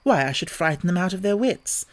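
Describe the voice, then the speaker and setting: A man's voice: sits high, animated, fairly quick. A man voicing a polite, truthful little girl who talks earnestly. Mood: musing.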